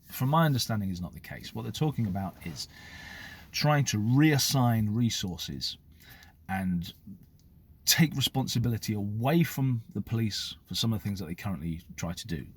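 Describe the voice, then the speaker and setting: A middle-aged man from the United Kingdom with a British accent, a former police officer, speaking to the camera in a measured, explanatory way. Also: on the low side, lively intonation, breathy edge. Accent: British English. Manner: measured, explanatory, occasional fillers. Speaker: former police officer giving his view. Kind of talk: direct-to-camera opinion talk.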